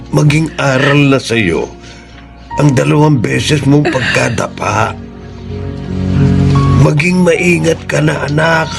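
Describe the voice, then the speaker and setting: An actor playing a caring older father and grandfather in a radio drama, earnest, measured and gently admonishing. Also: medium pitch, gravelly, exaggerated voice. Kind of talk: Filipino radio drama acting.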